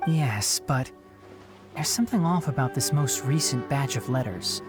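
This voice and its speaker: A man acting an earnest young male scholar in a video game cutscene, with a mid-pitched voice. He speaks in a measured, polite way and sounds thoughtful and articulate. Clear music plays behind him. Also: animated, natural voice. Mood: concerned. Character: earnest, thoughtful young male scholar and forest watcher, loyal to his master.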